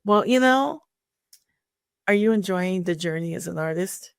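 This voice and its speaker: Creaky-voiced woman hosting an interview. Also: lowish voice, animated, unhurried, no room sound, clean studio sound. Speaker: podcast host and career coach.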